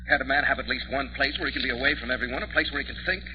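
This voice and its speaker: A man's voice: mid-range voice, steady tone, racing, natural voice. Man playing absent-minded American basement inventor, muffled reverberant recording. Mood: defensive, irritable. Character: mild middle-aged father and absent-minded basement amateur inventor. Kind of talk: mid-century American radio drama acting. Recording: muffled noisy old radio recording, long reverb.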